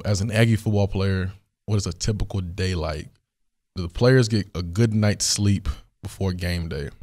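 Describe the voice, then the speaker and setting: A young man with a hoarse, worn voice, hosting a solo question-and-answer podcast in a studio, talking in a relaxed, rambling way with many fillers. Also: low voice. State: hoarse, recovering voice. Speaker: podcast host.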